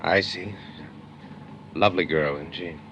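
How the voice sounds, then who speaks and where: An actor playing a menacing, sly crooked contractor in a 1950s radio drama, with drawn-out, insinuating phrasing. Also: male voice, deep voice, fairly even intonation, fairly bright tone. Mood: veiled threat. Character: menacing, sly small-town crooked contractor, adult man. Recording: dull, noisy vintage radio recording.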